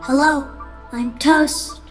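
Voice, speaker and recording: A man's voice: very high voice, steady tone, fairly bright tone, full character voice. A man voicing a tiny, eager, polite toaster robot in an audio story, with a small synthetic-sounding voice. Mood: cheerful, eager. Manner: slow, repetitive, polite greeting delivery. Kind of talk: scripted audio fiction character voice. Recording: music behind the voice.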